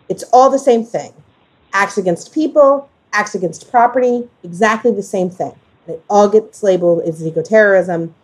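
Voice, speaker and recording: A woman's voice: mid-range voice, a little mellow, low-quality recording. A woman hosting a casual conversational discussion, speaking emphatically and repeating phrases for stress. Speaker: podcast host.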